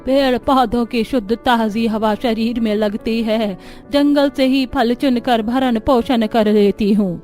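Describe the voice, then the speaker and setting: A kindly elderly village granny, talks in a slow, drawn-out way at a slightly high pitch. It is cartoon story acting with music underneath. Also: female voice, steady tone, a little mellow. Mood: content, sage. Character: kindly old village granny living in the forest.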